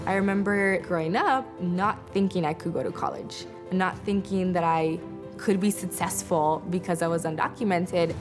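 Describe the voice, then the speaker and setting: A woman's voice: on the low side, moderate pace, music in the background. A young woman from Guatemala, a graduating student, telling her personal story in an earnest, reflective way.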